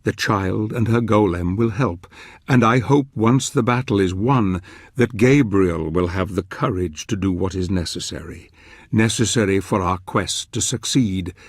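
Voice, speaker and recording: Wise older fantasy game narrator, deep voice, very dry sound, solemn and ominous. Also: male voice, no echo. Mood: grave, expectant. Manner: portentous, deliberate, formal. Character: grave wise older male narrator, secretive fantasy mentor. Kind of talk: theatrical scripted game narration.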